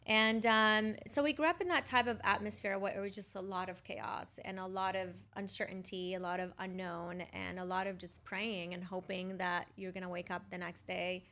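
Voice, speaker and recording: A woman's voice: medium pitch, steady tone, normal speed, hardly any pauses, pushed and bright. A middle-aged woman from Lebanon telling her personal story conversationally as a podcast guest. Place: podcast studio.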